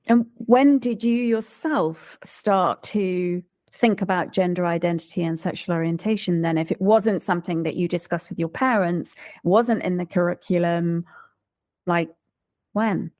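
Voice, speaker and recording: A woman's voice: lowish voice, a little slow, darkish tone. A woman asking probing questions about childhood in a podcast discussion. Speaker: interviewer.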